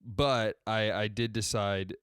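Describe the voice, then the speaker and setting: A young man from Ohio in his twenties with a deep radio-style voice, hosting a casual solo podcast and rambling conversationally as he backtracks through his story. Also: steady tone, takes it slowly, a bit bright, clear, resonant tone, dry recording, studio quality. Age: 20s. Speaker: podcast host.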